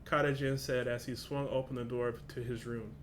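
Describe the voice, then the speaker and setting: A man's voice: medium pitch, little pitch movement, normal speed, a little hiss or hum. A young man hosting a reaction video, reading a story aloud, stumbling over words and laughing at himself.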